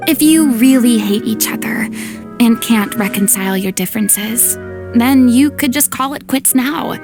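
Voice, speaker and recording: A woman's voice: fairly high pitch, measured pace, creaky. An actress playing a commanding young woman in a video game, stern yet caring, lecturing in an articulate, theatrical way. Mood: earnest, firm. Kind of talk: dramatic video game voice acting. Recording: music behind the voice.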